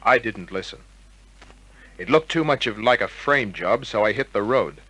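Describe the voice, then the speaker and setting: An actor playing a wisecracking, suspicious private detective in 1950s radio crime drama style, with clipped, sardonic tough talk. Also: male voice, on the low side, expressive, moderate pace, bright, forceful tone, low-quality recording. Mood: dry narration. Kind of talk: 1950s radio crime drama acting and first-person narration. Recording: old radio drama recording with music.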